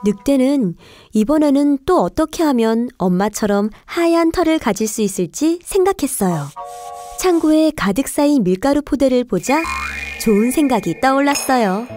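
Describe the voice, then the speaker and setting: A woman narrating a children's fairy tale, telling it clearly for young listeners. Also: high voice, expressive, normal speed, dark tone. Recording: faint music behind the voice.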